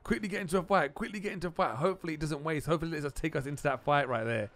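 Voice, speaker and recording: A man giving excited video game commentary, repeating phrases and exclaiming in informal slang. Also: a little high, very fast, no room sound. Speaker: gaming channel host. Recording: music behind the voice.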